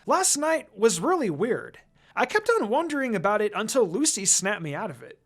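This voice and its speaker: Reader doing cheerful teen boy ninja, loud, excited, pitched up, bouncy. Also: moderate pace. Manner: excited and grinning.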